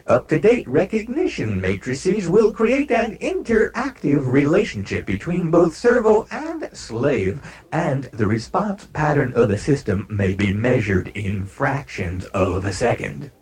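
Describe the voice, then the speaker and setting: A man's voice: mid-range voice, very animated, a little slow, breathy edge, heavily acted. A man playing an earnest newscaster in a comedy piece, declaiming a scripted technical bulletin with exaggerated emphasis. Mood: earnest, declamatory. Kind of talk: mock news announcement, scripted. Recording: loud music under the voice. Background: loud music.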